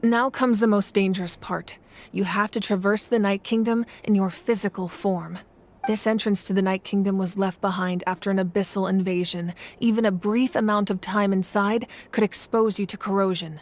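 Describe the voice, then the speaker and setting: A mid-pitched, measured female voice acted by a woman plays a solemn guide in a video game. Her delivery is formal and composed, with some ambient noise behind it. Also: fairly even intonation, lightly acted. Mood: calm, grave. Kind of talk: English video game voice acting.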